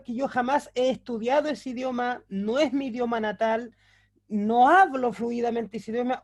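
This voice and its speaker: Man explaining a Bible lesson. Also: extremely high pitch. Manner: explanatory, with frequent fillers and self-corrections; asks the listeners rhetorical questions to check understanding. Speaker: bible teacher. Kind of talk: recorded class lecture.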